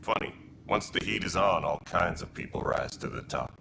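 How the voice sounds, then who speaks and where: An actor playing a gruff, dutiful alien militia leader in a video game, speaking with blunt military terseness in a gravelly voice. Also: male voice, lively intonation, normal speed, background noise, exaggerated voice. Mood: candid, reflective. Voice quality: gravelly, very deep.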